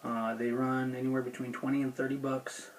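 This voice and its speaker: Flat-toned man reviewing gear, noisy recording. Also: medium pitch, fairly quick. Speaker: gear review video host. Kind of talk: casual unscripted product review.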